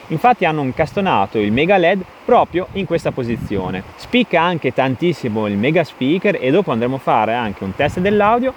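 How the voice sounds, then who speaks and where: Guy doing a tech review, really animated up and down pitch, super into it. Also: a little hiss or hum. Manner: enthusiastic, emphatic. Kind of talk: enthusiastic product review narration.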